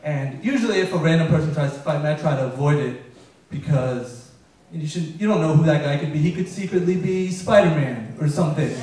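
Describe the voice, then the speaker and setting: A man's voice: fairly high pitch, a little fast. A young man from Afghanistan performing a stand-up comedy set, telling stories and acting out the people in them. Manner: deadpan storytelling, acting out characters in quotes. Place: comedy club stage. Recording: very echoey room, voice heard from a distance.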